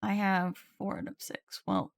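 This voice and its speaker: Girl streaming a game, chatty n casual, talking to herself, mid pitched voice, music playing behind her. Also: level tone, unhurried, vocal fry. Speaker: livestreamer. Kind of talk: casual game stream chat, reading in-game dialogue aloud. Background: video game music.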